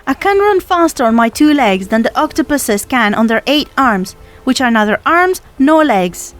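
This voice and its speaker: A woman's voice: high-pitched, sing-song, normal speed, faint music behind, voice pushed up. A woman voicing an eager, brave young boy in a storybook reading. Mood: urgent, determined. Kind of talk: storybook character voice.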